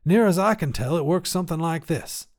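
A stubborn old grandfather, played by a male story narrator, raised in pitch above the reader's own voice. He talks in folksy, clipped bursts, insistent about his homemade inventions. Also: a little fast, close to an everyday voice.

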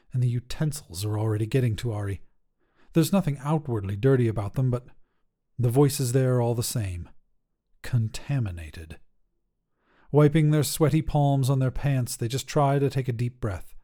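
A man's voice: mid-range voice, warm tone. A man narrating a scripted short story as a podcast reader, with a dramatic delivery.

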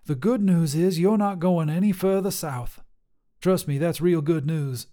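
A man's voice: on the high side, a little slow, warm tone, pitched above the performer's own voice, close to an everyday voice. A man voicing a wiry, mocking woman trucker who turns out to be a demon, with a drawling, teasing, folksy manner. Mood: sly teasing.

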